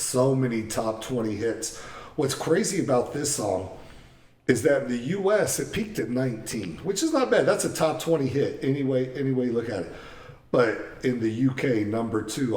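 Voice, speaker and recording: A man's voice: medium pitch, darkish tone, distant mic. A middle-aged man co-hosting a casual music chat, rattling off chart facts. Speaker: podcast co-host. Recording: echoey room, away from mic.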